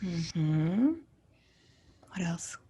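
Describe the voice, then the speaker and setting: Slow, soothing woman guiding hypnosis. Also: lowish voice, slightly rough. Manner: soothing, gently prompting and affirming. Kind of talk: guided hypnosis session. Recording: steady noise, USB microphone.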